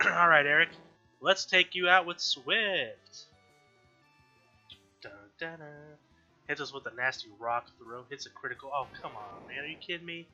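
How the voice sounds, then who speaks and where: Bright nasal man narrating gameplay. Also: fairly high pitch, a little slow, pauses often. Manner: chatty, frequent self-corrections and throat clearing. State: slightly nasal and dry-throated from allergies. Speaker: gaming video host. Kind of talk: casual let's-play commentary. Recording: dull lo-fi audio with faint background music.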